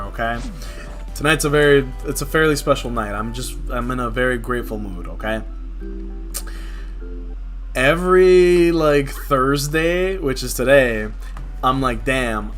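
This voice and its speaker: A man's voice: medium pitch, a bit bright. New man chatting on stream, music behind. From New York City. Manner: slangy, conversational, candid. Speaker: game livestreamer. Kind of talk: casual livestream chat.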